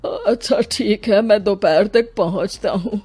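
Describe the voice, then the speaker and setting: A woman's voice: medium pitch, measured pace, darkish tone, slightly rough. A woman playing a grieving, weary old father in a dramatized story, speaking plaintively and respectfully. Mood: puzzled. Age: older. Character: grieving, weary elderly father, polite and sorrowful. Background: music.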